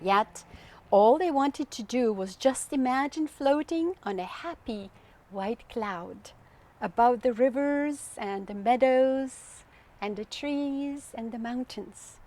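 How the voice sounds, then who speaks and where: A woman reading a story aloud to children in an expressive, deliberate, theatrical way. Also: a little high.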